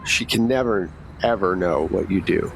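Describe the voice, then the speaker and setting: A man's voice: sits low, normal speed, creaky, slight background noise, voice pushed down, low-quality recording. A man playing a businesslike, protective female secret agent recruiter in a tabletop game, explaining things in a matter-of-fact, reassuring way.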